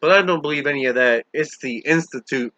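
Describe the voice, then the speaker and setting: Audiobook role: a man reading as a lowly housekeeper, earnest and confiding, bright forceful tone, slightly high pitch. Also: a little fast, no echo. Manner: explanatory, confiding. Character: Maureen, lowly woman housekeeper confessing secrets, earnest and plainspoken.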